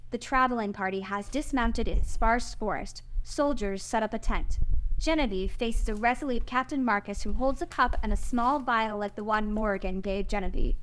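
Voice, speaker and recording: A woman with a high-pitched voice narrates a screenplay. She reads stage directions and scene headings as scripted narration. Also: normal speed, fairly bright tone, poor audio. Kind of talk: scripted narration at a live screenplay table read.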